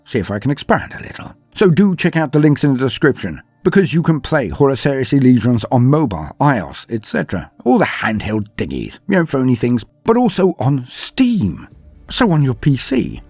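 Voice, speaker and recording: A man's voice: medium pitch, wildly swooping pitch, normal speed, a little mellow, no room sound. A man hosting and narrating lore in a theatrical, florid manner, with scripted delivery and chatty asides. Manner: theatrical, florid wording, self-deprecating. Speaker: lore channel host and narrator. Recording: music under the voice. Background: background music.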